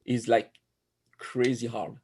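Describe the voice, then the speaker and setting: A man in his forties from Corsica with a French accent, a guest telling his story in a podcast interview, pausing often with fillers and restarts. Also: mid-range voice, level tone, darkish tone, slightly rough. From Corsica, France. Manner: hesitant, many fillers and restarts. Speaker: guest, animator.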